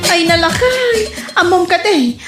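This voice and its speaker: Woman acting a shrill, bossy Ilocano wife. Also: expressive, a little slow, roomy sound, loud music behind the voice. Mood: pleased bossiness. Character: bossy village wife ordering her husband about. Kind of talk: Ilocano radio comedy drama acting.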